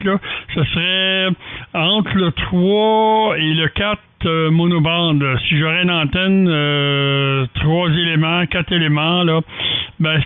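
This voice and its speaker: A man's voice: a little high, clean tone, no room sound. A man with a Quebec French accent chatting technically as an amateur radio operator, hesitant and often repeating words. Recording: dry, narrow phone-like radio audio.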